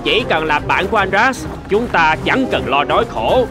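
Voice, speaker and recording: A man's voice: sits high, animated, moderate pace, music in the background. A man voicing a boastful, greedy seabird in a cartoon, swaggering and exclaiming. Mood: gloating. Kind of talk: cartoon dubbing.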